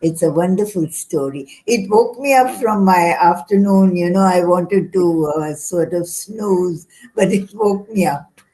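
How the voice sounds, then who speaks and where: An Indian-accented woman offers appreciative feedback from the audience. Her voice is fairly low and mellow, and she speaks very slowly, in a polite, deliberate way. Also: rough recording. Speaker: audience member.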